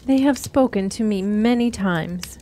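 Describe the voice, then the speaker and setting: A woman playing a cryptic, sorrowful wise woman who counsels youths in measured riddles in a fantasy radio drama. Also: medium pitch, dark tone, natural voice. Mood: sorrowful, calm. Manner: measured, riddling, archaic storyteller phrasing.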